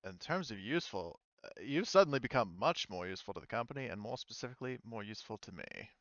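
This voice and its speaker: A man voicing a cunning female corporate executive in a tabletop game, measured and businesslike. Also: fairly low pitch, lively intonation, fairly bright tone, dry recording, highs cut off. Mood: calculating.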